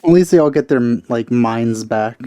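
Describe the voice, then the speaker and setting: A man's voice: medium pitch, normal speed, dark tone, no echo. A man giving casual, sarcastic commentary as he plays through a video game. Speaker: gamer doing let's play.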